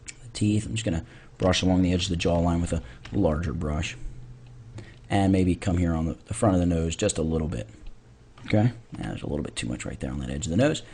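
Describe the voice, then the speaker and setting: A man narrating a software tutorial in an informal way, thinking aloud as he demonstrates. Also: low-pitched, brisk, slightly rough. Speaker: tutorial instructor.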